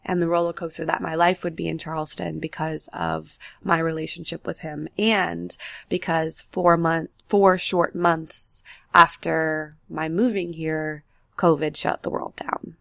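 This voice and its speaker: A young woman with a low, heavily gravelly voice speaks in an even, steady register, nasal from getting over the flu. She tells her story in a candid, unhurried way for her solo podcast. The sound is close and dry, with no room echo. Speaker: podcast host. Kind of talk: solo reflective podcast talk. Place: apartment with road noise outside.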